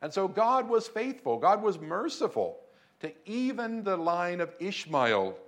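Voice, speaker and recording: A man preaching a sermon to a congregation in a room, speaking in a measured way with emphatic lifts on key points. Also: high-pitched, very animated. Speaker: pastor. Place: church room in Beijing.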